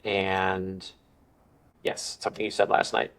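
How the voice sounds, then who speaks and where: Low-voiced man hosting a podcast. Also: completely flat delivery, slow pace, a bit bright. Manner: complimentary, guiding the conversation. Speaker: podcast host, interviewer. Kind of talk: podcast interview.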